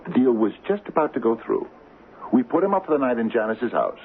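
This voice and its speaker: An anxious middle-aged small-town mayor in a radio drama. His voice is gravelly and swings widely as he hesitates and stammers in earnest. The sound is thin and phone-like, like an old broadcast. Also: mid-range voice, sing-song, fairly quick, a little hiss or hum. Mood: urgent, fearful.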